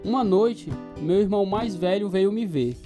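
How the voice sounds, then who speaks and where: A troubled, fearful man played by an actor tells the story of his youth as dramatized radio narration. He is earnest and emotive, with plucked guitar music clearly behind the voice. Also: sits high, moderate pace, lightly acted. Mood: somber, reflective.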